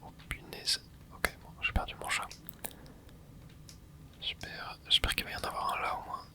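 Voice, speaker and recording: A host whispering casual ASMR commentary over gameplay, reacting excitedly and chatting to viewers. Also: normal speed. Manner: casual, chatty, self-correcting. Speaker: channel host.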